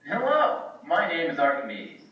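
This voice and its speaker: A man's voice: on the high side, huge pitch swings, moderate pace, lo-fi sound. A man acting an eager, boastful ancient Greek scientist puppet in a children's show, pompous and theatrical. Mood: excited, shouting. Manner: pompous, theatrical, self-admiring. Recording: echoey room, far from mic, faint noise.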